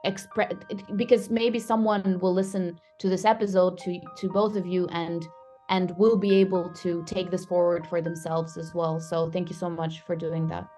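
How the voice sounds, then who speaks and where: A woman with a lowish voice speaks gently and appreciatively in a podcast conversation. Her pitch stays quite flat. Faint music sits behind her. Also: normal speed.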